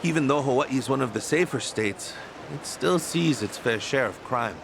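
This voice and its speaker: A friendly, dutiful volunteer organizer in a game cutscene. He explains things easily in a mid-pitched voice, with clear outdoor town noise behind him. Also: animated, normal speed. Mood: informative. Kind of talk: video game voice acting.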